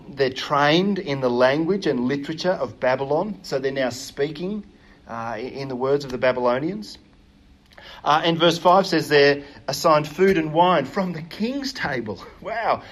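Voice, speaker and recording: A man preaching a sermon in an earnest, emphatic way, often pausing with hesitations. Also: mid-range voice, a bit bright.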